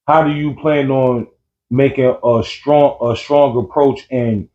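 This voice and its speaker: A man's voice: mid-range voice, steady tone, slow, mellow. A man interviewing a rapper, asking long rambling questions with restarts in casual slang. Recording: dull lo-fi sound.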